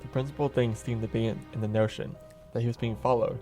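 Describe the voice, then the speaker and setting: A man portrays a serious bereaved brother in a radio mystery. He talks in a medium register, measured and slightly hesitant, over faint music. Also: mid-range voice, fairly even intonation, warm tone, natural voice. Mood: grave. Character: grave bereaved brother recounting suspicions. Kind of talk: radio drama acting.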